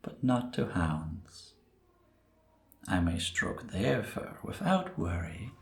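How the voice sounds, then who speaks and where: A man playing Death as a grave, gentle reaper who speaks in a measured, deliberate way in an audio roleplay. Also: low-pitched, sing-song, gravelly, a little hiss or hum, heavily acted. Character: solemn, gentle male Death, a reaper who was once human. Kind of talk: cinematic audio roleplay acting. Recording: roomy, reverberant sound.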